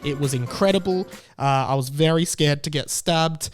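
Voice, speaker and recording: A young Australian man hosting a solo podcast, telling family stories with lively acted-out dialogue. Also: fairly high pitch, moderate pace, soft background music. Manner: animated storytelling, acts out family dialogue. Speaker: podcast host.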